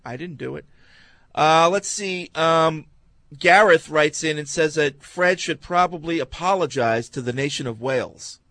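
A man's voice: fairly high pitch, measured pace, a bit bright, dry recording. A man hosting a sports talk radio show, chatting with hesitant fillers and restarts. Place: radio studio.

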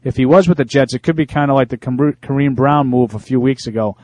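A man's voice: mid-range voice, a little mellow. A man co-hosting a sports talk show, chatting casually and reading out listener emails with plenty of fillers. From Italian-American. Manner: conversational, reads emails aloud with halting fillers.

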